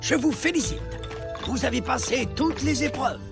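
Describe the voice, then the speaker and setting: A kindly middle-aged cartoon mentor. The voice is heavily creaky, solemn and reassuring, and loud music swells behind it. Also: high-pitched, expressive, moderate pace. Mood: warm, congratulating. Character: kindly adult mentor who set the quest. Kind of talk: French cartoon dub acting.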